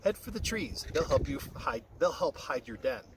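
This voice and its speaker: A man's voice: a little high, big pitch swings. Man voicing a scolding storybook doe, noisy recording. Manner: brisk, scolding advice. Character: scolding, practical female mule deer. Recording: very noisy, distant-mic recording.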